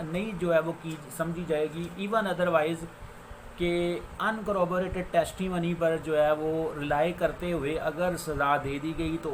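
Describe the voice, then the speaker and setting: Slow-paced, higher-pitched Pakistani man lecturing. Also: low-quality recording. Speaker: law lecturer, advocate. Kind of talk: explanatory law lecture mixing Urdu with English legal terms. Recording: faint background noise.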